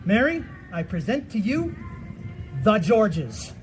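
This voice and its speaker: A man playing an excitable, defensive husband of fifty-two in a stage comedy, stammering through animated protests. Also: sits high, slow pace, over music, full character voice. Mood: eager. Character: fifty-two-year-old husband, excitable, defensive, fretting over aging. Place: theatre stage. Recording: noisy live theatre stage recording.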